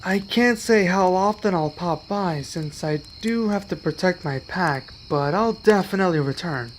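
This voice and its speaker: A man acting a young, gentle, protective werewolf in an ASMR roleplay, speaking softly and earnestly with halting pauses. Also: high-pitched, a little hiss or hum, close to an everyday voice. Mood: hopeful, grateful.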